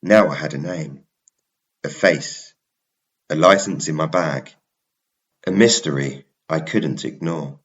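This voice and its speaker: Male narrator, very low register, unhurried dramatic delivery for suspense romance fiction, slightly muffled sound. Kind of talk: scripted first-person suspense romance narration.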